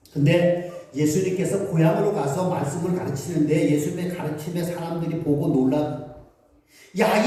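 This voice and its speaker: A man's voice: high-pitched, dark tone. A middle-aged male pastor giving a Christmas sermon, telling stories and asking his congregation questions. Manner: storytelling, acting out voices of villagers and asking the congregation questions. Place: church sanctuary. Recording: very echoey church hall, voice from some distance.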